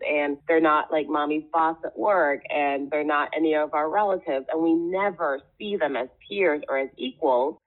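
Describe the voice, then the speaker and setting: A woman from the Caribbean, a guest in an interview, speaking earnestly and emphatically, stacking up point after point. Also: low voice. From Caribbean, Jamaica and Trinidad. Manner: emphatic, building long lists. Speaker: guest, diversity consultant. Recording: tinny phone-like line.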